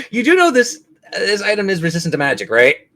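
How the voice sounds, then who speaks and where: A man acting as game master, narrating and refereeing a tabletop game in a suspenseful, drawn-out way. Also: sits high, wildly swooping pitch, sharp tone.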